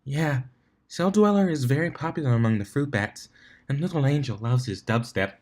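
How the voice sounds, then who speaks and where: A man's voice: mid-range voice, expressive, normal speed, a little mellow, pitched above the performer's own voice, lightly acted. Man putting on a meek pegasus mare, Fluttershy. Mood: bashful. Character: gentle, shy young female pegasus pony.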